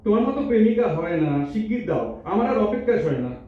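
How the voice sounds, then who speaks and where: A man playing a playful, flattering young lover, declaiming theatrical rhymed verse in a stage audio drama. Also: high-pitched, fast. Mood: adoring, flattering. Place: multipurpose hall stage in Kolkata. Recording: very echoey hall, distant mic, dull.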